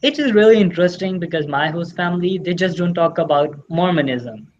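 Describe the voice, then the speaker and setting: A man's voice: high voice, animated, brisk, a little mellow. Teen male from Pakistan, native Urdu, eager interview guest, slightly dull audio. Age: teens. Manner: earnest, enthusiastic, repeats "really" often. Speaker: exchange student guest. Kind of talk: casual interview answers.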